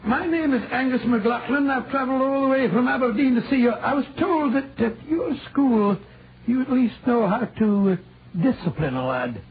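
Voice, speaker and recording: An actor playing an earnest middle-aged gentleman posing as a Scotsman, hesitant and stammering, in 1940s radio mystery style. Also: extremely high pitch, normal speed. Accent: put-on Scottish. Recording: tinny old 1940s radio recording.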